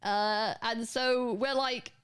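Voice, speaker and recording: A woman's voice: on the high side, steady tone, slow pace, clear, resonant tone. A British woman streaming, chatting casually and rambling with her viewers, swearing freely and often restarting her sentences. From Britain. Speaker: livestreamer.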